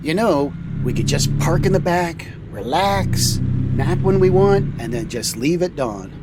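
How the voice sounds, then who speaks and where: A man's voice: on the high side, animated, unhurried. A man playing a boyish, easygoing trucker captain in a comedy audio drama, with a casual, playful way of talking. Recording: faint vehicle noise behind.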